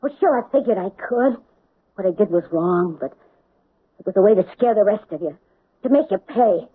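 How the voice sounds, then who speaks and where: A woman's voice: mid-range voice, lively intonation, normal speed, breathy edge. An actress playing a bitter, defensive woman accused of extortion in a 1970s radio drama. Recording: tinny, dry old radio broadcast.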